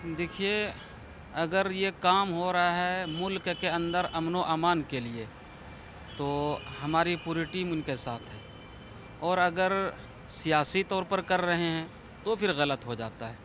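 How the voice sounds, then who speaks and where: A man with a notably high voice answers an interviewer as an imam. His wording is formal, balanced and diplomatic. Faint outdoor city and vehicle noise runs underneath. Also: slow, fairly bright tone.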